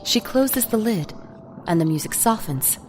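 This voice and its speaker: A woman's voice: mid-range voice, animated, a little slow, slight background noise. A woman narrator reading a scripted dramatic story in the third person.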